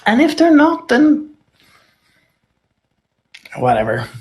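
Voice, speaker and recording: A man's voice: very high voice, wildly swooping pitch, slow. A man who grew up in Norway, a native Dutch speaker talking in English as a guest expert, candid and thinking aloud with wry asides. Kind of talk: casual conversational interview.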